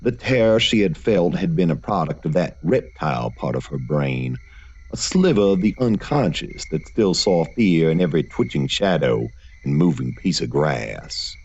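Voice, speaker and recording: A man reading scripted gothic horror fiction as a narrator, in a measured, literary storytelling manner. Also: deep voice, sing-song, darkish tone, husky, lo-fi sound.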